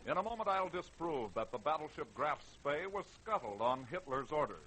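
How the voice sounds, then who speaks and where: Animated American vintage radio narrator, male. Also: fairly high pitch, fairly quick, over loud music, low-quality recording. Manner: emphatic, theatrical. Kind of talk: 1930s-40s dramatic scripted narration.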